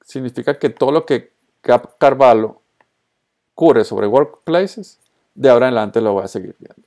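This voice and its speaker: Male tutorial presenter, Colombian-Venezuelan accent, relaxed dark tone, mid pitch, informal and spontaneous, drops in English tech words. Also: moderate pace. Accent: Colombian-Venezuelan Spanish. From born in Colombia, raised in Venezuela. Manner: informal, mixes in English terms. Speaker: social media tutorial presenter. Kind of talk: casual screencast tutorial, spontaneous narration.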